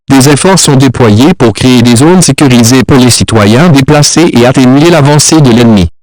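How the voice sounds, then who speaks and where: A man reading a scripted emergency broadcast in a formal, measured manner. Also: medium pitch, a little mellow, no room sound, poor audio.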